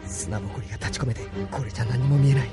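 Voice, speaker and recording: A man's voice: mid-range voice, very fast, gravelly, loud music behind the voice, lightly acted. A man playing a young, idealistic and hot-blooded robot pilot in an anime audio drama. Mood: desperate, defiant.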